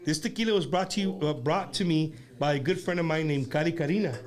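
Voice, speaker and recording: A man's voice: fairly high pitch, fast. A middle-aged man, hosting a loose chat show with a band and rambling as he repeats his words. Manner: rambling, repeats words, mixes in Spanish slang. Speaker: show host.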